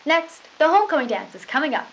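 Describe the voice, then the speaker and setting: A young woman co-anchors a school news desk, reading earnestly in a fairly high voice. The sound is muffled and lo-fi, with a faint hiss behind her. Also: very animated, fast talker, fairly bright tone. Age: young adult. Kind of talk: scripted school news broadcast. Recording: lo-fi hissy old tape.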